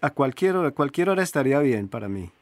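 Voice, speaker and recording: A man plays a Colombian caller in a lesson dialogue. He is courteous and a little hesitant, with a medium pitch and a close, dry sound. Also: very animated, fast talker, dry recording, close to an everyday voice. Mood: polite, hesitant. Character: polite Colombian man phoning for an appointment. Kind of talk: telephone conversation in a language lesson.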